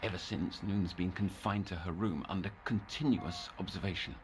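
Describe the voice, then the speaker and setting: An actor playing an obsessive, scheming psychiatric counsellor in an audio drama, speaking in formal, measured phrases that slip into whispers. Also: male voice, low voice, noisy background, natural voice. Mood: grave, reflective. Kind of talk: audio drama monologue and dialogue.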